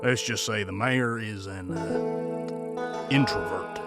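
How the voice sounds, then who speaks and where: A man playing a gruff but easygoing, weary gunslinger in a Western audio drama, with a folksy, laconic drawl. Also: on the low side, slow, over loud music. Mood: laid-back.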